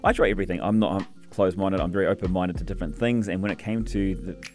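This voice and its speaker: A man with a New Zealand accent, a fitness trainer chatting casually as a radio guest, relaxed and quick to laugh. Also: sits low, faint music behind. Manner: relaxed, chatty, laughs easily, many fillers.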